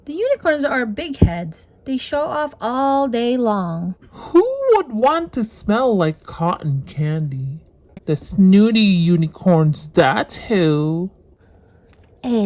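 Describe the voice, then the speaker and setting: A woman's voice: a little high, lively intonation, slow pace, darkish tone, no echo. A woman voicing a jealous, indignant little storybook creature who mocks its rivals. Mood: indignant, mocking. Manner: mocking, indignant.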